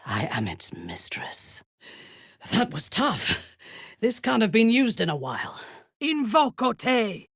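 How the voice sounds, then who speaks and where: A ruthless dark elf warrior woman from a fantasy video game, with a husky edge and slow, measured phrasing. She is proud, cold and imperious, and her delivery swings widely in pitch as she commands. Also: dry recording, clean studio sound, full character voice. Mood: proud, firm, then battle incantation.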